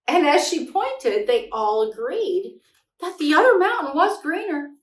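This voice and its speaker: A middle-aged woman reading a picture book aloud and talking to young children in an expressive, simply phrased, explanatory way. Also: high-pitched, measured pace. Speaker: children's read-aloud host. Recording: echoey room, distant microphone.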